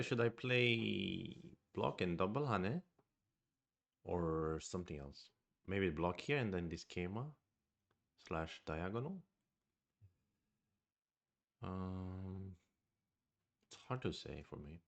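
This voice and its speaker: A man's voice: low-pitched, expressive, slow, creaky, long pauses. A man with a Swedish accent streams his go game, thinking aloud in a hesitant, musing way. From Sweden. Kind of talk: live-streamed game commentary.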